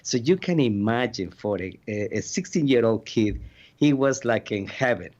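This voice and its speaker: Mexican-born male physician guest, low voice, earnest storytelling, dull lo-fi audio. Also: expressive, normal speed, darkish tone. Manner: earnest, non-native grammar, frequent restarts. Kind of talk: interview storytelling. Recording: dull lo-fi sound.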